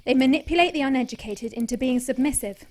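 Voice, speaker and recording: A woman's voice: high voice, fast talker, a little mellow. An actress playing a tough, blunt young female monster hunter in an audio drama. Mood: brooding. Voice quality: brash, sweary. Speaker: lead character.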